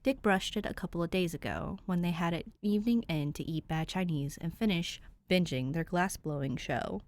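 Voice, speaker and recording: Lower-voiced woman reading a story. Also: moderate pace. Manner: even, storytelling. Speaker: podfic reader. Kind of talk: audiobook narration of fan fiction.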